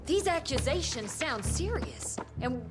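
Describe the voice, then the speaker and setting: Deferential female aide, formal, tentative, slightly high voice, game cutscene, loud music behind. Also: very animated, a little slow. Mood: cautious. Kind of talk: video game cutscene acting.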